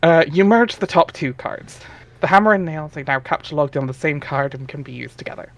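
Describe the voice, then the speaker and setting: A man with a slightly high voice narrates a story aloud on a headset mic. His delivery is informal, slipping between reading and casual chat with friends. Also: animated, fairly quick, creaky, fairly bright tone. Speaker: narrator of a webcomic dramatic reading.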